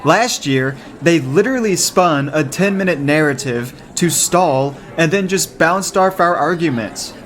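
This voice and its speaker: An actor in an amateur radio drama playing an exasperated but determined young student debater, blunt in manner. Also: male voice, a little high, animated, a little slow, few pauses, fairly bright tone.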